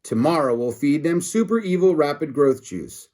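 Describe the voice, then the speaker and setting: A man's voice: a little high, animated, moderate pace, darkish tone, close to an everyday voice. A man voicing a gloating, scheming alien villain in a storybook, with a sneering, menacing manner.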